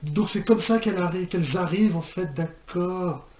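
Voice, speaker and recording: A man's voice: high-pitched, moderate pace, a little mellow. A man giving a casual, hesitant reaction commentary, rambling and repeating his phrases. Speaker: reaction video host. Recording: echoey room with background noise.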